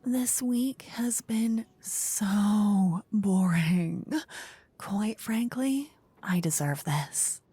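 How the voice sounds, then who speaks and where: A woman playing a playful, haughty, easily bored queen in a fantasy ASMR roleplay, soft-spoken and teasing. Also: mid-range voice, very slow, husky, exaggerated voice. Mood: smug, delighted. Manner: theatrical, teasing, soft-spoken with whispery phrases. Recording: close dry ASMR microphone.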